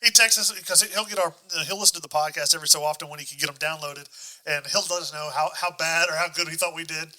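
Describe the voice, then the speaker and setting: A man's voice: fairly high pitch, fast talker, sharp tone, low-quality recording. A man chatting casually as the host of a podcast. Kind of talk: casual chat.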